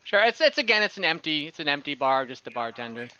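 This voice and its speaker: A man running an online tabletop game as game master, rambling in a relaxed way and laughing easily. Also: fairly high pitch, fast. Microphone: home mic on video call. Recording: dull dry lo-fi audio.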